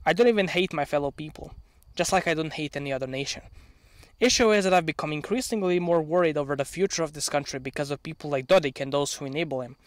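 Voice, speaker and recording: A young man in his early twenties from Bosnia, a Serbian speaker, delivering a prepared opinion speech outdoors into a handheld microphone, earnest and measured with sardonic touches. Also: a little high, dry recording, studio quality. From Bosnia and Herzegovina. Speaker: channel owner. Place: outdoors in an open field.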